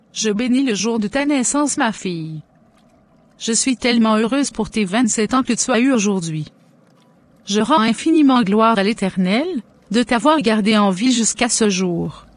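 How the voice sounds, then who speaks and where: A woman's voice: a little high, a little slow, no echo. A woman playing a devout, tender middle-aged mother in a cartoon, formal and reassuring. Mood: joyful, grateful. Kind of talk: scripted cartoon dialogue.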